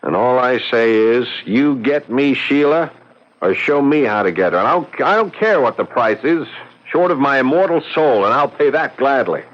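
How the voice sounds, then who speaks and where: A man's voice: medium pitch, lively intonation, moderate pace, lightly acted. A man playing an earnest, exasperated young American suitor in an old-time radio drama, with an American accent. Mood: exasperated, pleading. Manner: earnest, flustered. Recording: muffled, hissy old radio recording.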